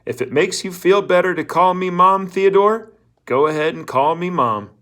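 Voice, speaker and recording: A man's voice: high-pitched, moderate pace, a bit bright, voice pushed up. A man voicing a cheerful, patronizing camp counselor in a storybook reading, hearty and encouraging toward teenage boys. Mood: upbeat, preachy.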